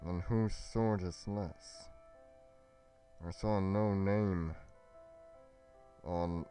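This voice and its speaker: A man playing a solemn, noble young warrior in a fantasy roleplay, speaking deliberately and portentously with halting pauses. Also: low voice, fairly even intonation, mellow, faint music behind. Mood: wondering, halting. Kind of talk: dramatic roleplay monologue.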